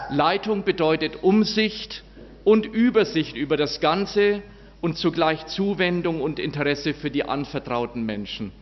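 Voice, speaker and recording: A priest addresses his congregation in a slow, formal, measured way, his fairly high voice carrying through a large church with a long reverb. The sound is a bit dull, with faint room noise behind it. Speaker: parish priest.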